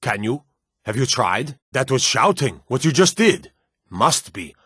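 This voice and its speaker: A man's voice: medium pitch, sing-song, a little slow, a bit bright, husky, dry recording, studio quality, exaggerated voice. A man playing an earnest, superstitious Nord town guard and soldier in a fantasy video game, speaking in a plain, hardy way. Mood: awed.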